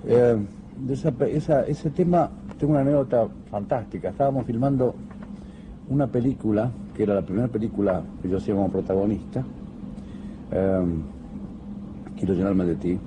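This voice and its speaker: A man's voice: medium pitch, a little fast, background noise. A man telling an anecdote in an archival interview clip. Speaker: singer recalling an anecdote.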